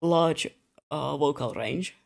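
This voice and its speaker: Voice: medium pitch, takes it slowly, vocal fry. Tired young streamer. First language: Russian. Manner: rambling, self-mocking, laughs often. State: tired, slightly slurred speech. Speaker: streamer drawing commissions. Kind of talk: casual live-stream chat.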